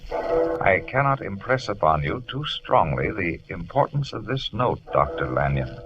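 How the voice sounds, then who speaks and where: Radio drama doctor, low and solemn, unhurried, on a noisy vintage recording. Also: male voice, big pitch swings, lo-fi sound. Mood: solemn urgency. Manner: solemn, deliberate. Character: grave gentleman doctor reading an urgent letter. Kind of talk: old radio drama acting.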